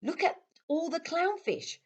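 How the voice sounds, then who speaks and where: A woman voicing a lively young girl in a children's storybook, expressive and exclaiming. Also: very high-pitched, unhurried, highs cut off, voice pushed up, exaggerated voice. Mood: excited.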